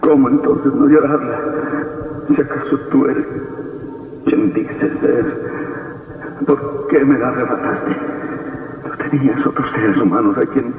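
An actor playing an anguished, grieving young man in a radio horror drama, halting and melodramatic. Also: mid-range voice, natural voice. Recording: heavy echo, muffled distant old radio.

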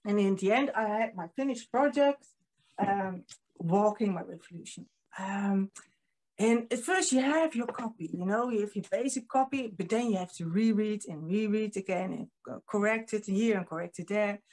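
A woman's voice: medium pitch, normal speed, darkish tone, rough recording. A Dutch woman in her fifties with a Dutch accent, giving an earnest talk as a publisher, with frequent ums, recorded on a webcam at home. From Netherlands. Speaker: guest speaker, publisher. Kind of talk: online presentation. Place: home room with painting. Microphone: video call webcam.

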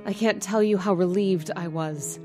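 A woman's voice: fairly low pitch, moderate pace, darkish tone, soft background music, natural voice. An actress playing a frightened middle-aged landlady and mother who narrates her suspicions and stammers when flustered, in a radio drama. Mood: tense. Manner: nervous, stammering.

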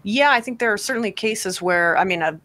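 A woman in her forties, an author guest on a talk show, speaking in a thoughtful, measured way with frequent fillers, from a home study on a desk mic. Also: mid-range voice, expressive, a bit bright, no room sound. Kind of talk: interview on radio show. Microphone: desk podcast mic on boom, video call.

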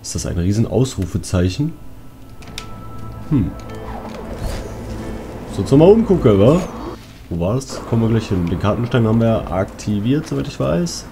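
A man with a fairly low voice plays a video game and comments casually as he goes. He talks to himself in blunt, crude bursts and interjections, over clearly audible game music. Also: big pitch swings, normal speed, long pauses. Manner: muttering to himself, crude swearing, exclamations. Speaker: let's player.

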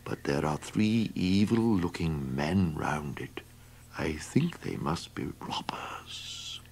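A man's voice: mid-range voice, huge pitch swings, slow pace, darkish tone, a little hiss or hum, full character voice. A man voicing a friendly male donkey who coaxes the others along. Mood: whispering. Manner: friendly, encouraging and coaxing. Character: kindly male donkey, leader of the group.

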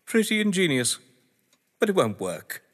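An actor playing a haughty, condescending gentleman in an audio drama, with a patronising, clipped, theatrical delivery. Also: high voice, sing-song, measured pace, heavily acted. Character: haughty, condescending gentleman crime schemer under threat. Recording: reverberant hall-like room.

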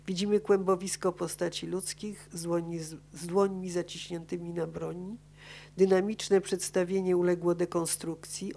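A woman's voice: fairly low pitch, warm tone, a little hiss or hum. A woman reading an academic paper aloud at a conference, stumbling now and then over words as she follows her script. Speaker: conference speaker. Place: conference auditorium. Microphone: gooseneck table microphone.

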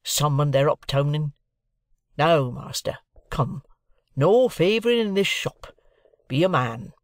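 Narrator putting on a gruff, slow-drawling, surly blacksmith's man. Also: medium pitch, expressive, no room sound, studio quality, pitched above the performer's own voice. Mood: demanding, gruff. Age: young adult. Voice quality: gruff, growling. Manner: drawn-out, rustic working-class speech. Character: gruff, sullen journeyman blacksmith, surly and spiteful.

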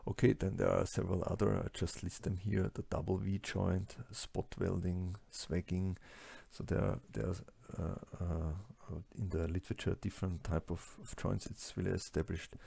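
A man with a German-speaking accent giving a slide lecture, halting with many fillers and restarts. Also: low voice, little pitch movement, gravelly, mellow, husky. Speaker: lecturer on plastics engineering.

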